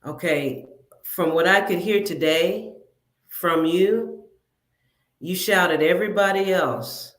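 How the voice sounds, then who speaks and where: Slow, deliberate guest in a roomy echo. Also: female voice, on the low side. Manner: deliberate, pointed questioning. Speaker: livestream guest. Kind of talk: casual livestream talk.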